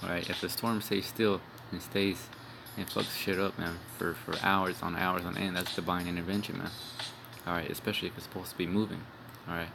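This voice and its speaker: Deep gravelly man preaching over music. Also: level tone, a little fast, background noise. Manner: rambling, repeats "man" and "all right"; profane, blunt. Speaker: street preacher. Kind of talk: informal religious video sermon. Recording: music playing behind.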